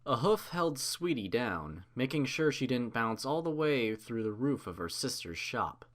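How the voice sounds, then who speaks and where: Man, story narrator, scripted reading, mid-pitched, expressive melody. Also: measured pace.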